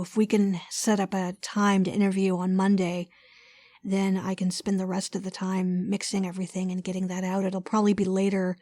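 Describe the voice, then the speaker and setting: Bright-voiced woman hosting a podcast. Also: mid-range voice, no room sound. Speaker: podcast host and interviewer. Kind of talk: casual podcast announcements and interview.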